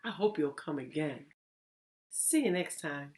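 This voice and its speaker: A woman narrating a children's story as a reading teacher, deliberate and theatrical in her phrasing. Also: deep voice, animated, a little mellow. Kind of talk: expressive children's read-aloud narration.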